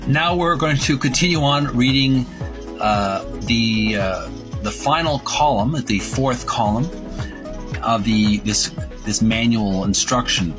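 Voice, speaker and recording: A man presenting a book by reading its printed text aloud with commentary, in a halting, deliberate, itemizing way with pauses. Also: mid-range voice, over music. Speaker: presenter of a retro video game book.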